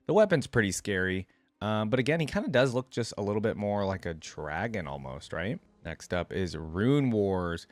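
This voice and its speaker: A man's voice: lowish voice, very animated, normal speed, no room sound. A man giving casual, informal reaction commentary as the host of a gaming channel, thinking aloud as he goes.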